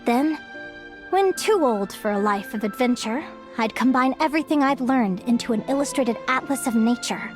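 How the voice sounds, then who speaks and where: A woman playing a wistful, soft-hearted young woman explorer in an anime-style game, halting and emotive. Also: a little high, expressive, soft background music, natural voice. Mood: wistful, reflective. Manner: halting, self-questioning, emotive.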